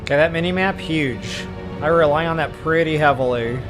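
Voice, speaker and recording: A man's voice: a little high, moderate pace, over music. A man giving casual, slangy gameplay commentary as the host of a walkthrough. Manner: slangy, informal. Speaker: let's play walkthrough host.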